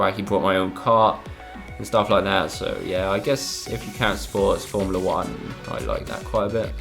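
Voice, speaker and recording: A young man with a lowish voice, a gaming YouTuber from Spain, reads out fan questions and answers them casually. He hedges a lot and pauses on "um". Faint music plays under his voice. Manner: relaxed, hesitant, full of ums and "I don't know".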